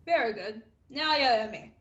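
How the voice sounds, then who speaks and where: A woman plays a defiant sea captain in a radio drama, fairly high in pitch. The delivery is stern, formal and clipped, built on command. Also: lively intonation, normal speed, a bit bright, highs cut off. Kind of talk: radio play acting.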